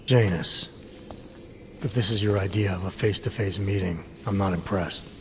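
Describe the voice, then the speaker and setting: An actor playing a terse, unflappable special agent in a video game, dry, clipped and skeptical. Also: male voice, low voice, normal speed, noisy background, close to an everyday voice. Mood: cool, dry. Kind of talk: video game cutscene acting.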